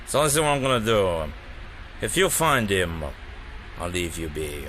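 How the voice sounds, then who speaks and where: A man's voice: low voice, very animated, moderate pace, background noise. A man playing a ruthless, menacing, controlling dictator in an audio drama. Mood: cold menace.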